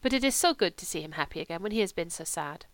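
A woman voicing a kindly, naive foreign monk in an audiobook, with a gentle manner. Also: fairly low pitch, expressive, fast, no room sound, lightly acted. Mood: gentle, pleased. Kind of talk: audiobook character reading.

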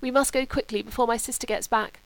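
A woman's voice: on the high side, steady tone, fast, no echo, voice pushed up, lightly acted. A woman voicing a moody, wry young upper-class male student. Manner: languid, wry, turning curt. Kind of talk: audiobook character voice.

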